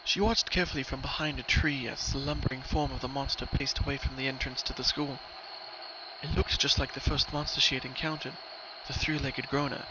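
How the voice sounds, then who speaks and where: A man's voice: mid-range voice, steady tone, brisk, muffled recording, background noise. A man narrating a story from a script as a dramatic reading.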